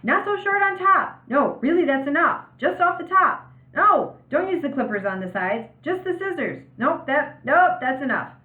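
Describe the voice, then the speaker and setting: A woman plays a panicky young boy from a storybook, pitched high and loud. He pleads urgently and insistently. The sound is echoey and band-limited. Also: lively intonation, normal speed, few pauses, narrow, tinny audio. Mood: urgent pleading. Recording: roomy, band-limited home recording.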